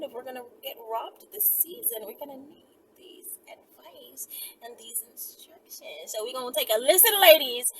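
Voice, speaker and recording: A woman's voice: high-pitched, a bit bright, slight background noise, rough recording. A woman host talking casually to her viewers, sassy and digressive, interjecting comments as she reads out messages. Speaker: host of her own video channel.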